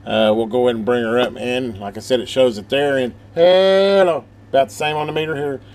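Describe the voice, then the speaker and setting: A man's voice: mid-range voice, fairly even intonation, brisk, darkish tone. A man giving a casual, rambling hands-on radio demonstration, thinking aloud in a folksy, informal way. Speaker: hobbyist presenter demonstrating a CB radio.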